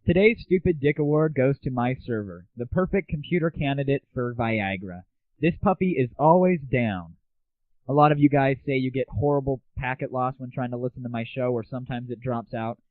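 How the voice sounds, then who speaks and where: A tinny, phone-like recording carries a man hosting a gaming show. He talks in a casual, irreverent monologue full of slang and crude cheek. His mid-pitched voice sounds close and dry. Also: brisk, no echo. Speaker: host of an online gaming audio show. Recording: tinny, narrowband phone-like audio.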